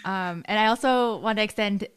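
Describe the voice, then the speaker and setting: Woman, podcast host, smooth clear voice, friendly, giggly. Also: medium pitch. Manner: friendly, giggly, quick thanks. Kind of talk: casual interview.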